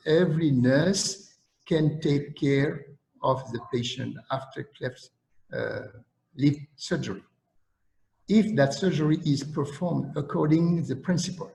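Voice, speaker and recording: Gravelly slow Senegalese-accented man. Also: fairly high pitch, darkish tone, echoey room, rough recording. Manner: slow, deliberate, halting with fillers. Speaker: lecturing surgeon. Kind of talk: online lecture and Q&A.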